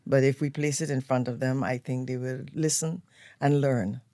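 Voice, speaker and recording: An older woman with a Trinidadian accent, a guest telling stories of her upbringing in a relaxed, unhurried way. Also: extremely low pitch, dry recording, studio quality. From Trinidad and Tobago. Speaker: guest, event planner. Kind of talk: relaxed storytelling interview. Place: radio studio.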